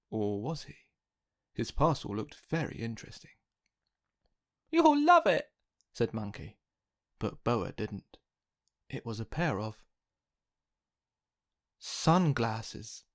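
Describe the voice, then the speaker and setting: A storybook narrator giving a gentle, scripted reading for children, with music behind the voice. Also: mid-range voice, lively intonation, unhurried, long pauses. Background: music bed.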